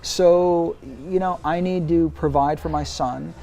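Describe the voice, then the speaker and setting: A man in his forties talking business in an interview, with a voice pitched a little above most men. He speaks emphatically and in an animated way, often restarting his sentences as he works toward his point. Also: unhurried, creaky. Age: 40s. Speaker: interview guest, brand executive. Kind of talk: casual interview answers.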